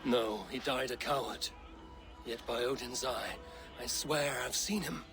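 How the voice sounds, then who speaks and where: A man's voice: mid-range voice, unhurried, music in the background, close to an everyday voice. An actor playing an earnest viking warrior who asks after his father in a video game cutscene. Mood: questioning. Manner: earnest and questioning.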